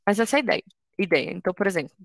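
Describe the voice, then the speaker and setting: A woman's voice: medium pitch, lively intonation, racing, creaky, dry recording. A woman in her twenties from Brazil, teaching an online coding workshop in a patient, informal way, explaining step by step with frequent restarts. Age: 20s. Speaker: workshop instructor.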